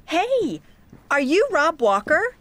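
A woman's voice: high-pitched, very animated, takes it slowly, a bit bright, heavily acted. A woman reading a scripted coursebook dialogue as an upbeat, chatty photographer.

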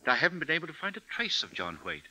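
An actor playing an earnest, deferential editor, grave and uneasy, in 1950s radio drama. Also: male voice, fairly high pitch, wildly swooping pitch, very fast, sharp tone, heavily acted, poor audio. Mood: hesitant, respectful.

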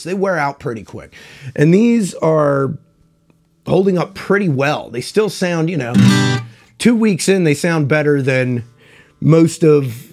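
A man in his forties, stuffed up and congested, chatting casually and rambling about guitar strings in his home music room on a lapel mic. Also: fairly high pitch. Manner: rambling, folksy, hesitant restarts. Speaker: guitar reviewer. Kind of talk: casual product review chat.

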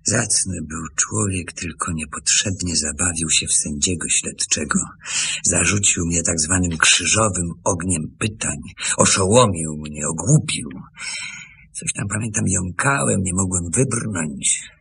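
An actor playing a bitter, determined man in a radio drama, brooding and emphatic, with a husky, gravelly voice. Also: sits low, sharp tone, exaggerated voice. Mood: bitter, ironic. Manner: brooding, emphatic, halting outbursts. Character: bitter younger man, wronged former apprentice, agitated and determined. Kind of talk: Polish radio drama acting.

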